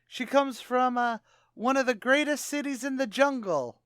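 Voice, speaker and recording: A man playing a boastful, stammering tavern manager in a 1940s-style radio comedy, bluffing with rough grammar. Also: very high-pitched, moderate pace, a bit bright, no echo, clean studio sound.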